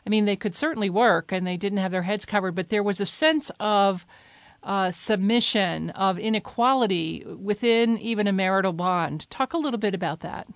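Female interviewer, measured and steady, with a dry studio sound. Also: mid-range voice, no echo. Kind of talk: interview questions.

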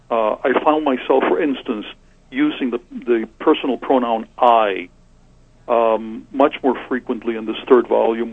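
A soft-spoken man in his sixties, originally from Cuba, thinks aloud and often restarts his sentences. His voice is gravelly and a little husky. It comes through a thin, phone-like line with a dry, close sound. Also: medium pitch, dry recording. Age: 60s. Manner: soft-spoken, reflective, frequent hesitations and restarts. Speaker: Trappist monk and author. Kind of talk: thoughtful interview answers.

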